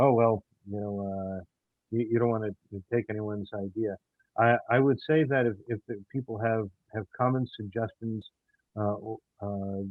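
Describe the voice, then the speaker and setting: A man answering as an interview guest, haltingly, often repeating words as he searches for phrasing. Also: fairly low pitch, no echo. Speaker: audio drama writer, interview guest. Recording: tinny phone-like call audio.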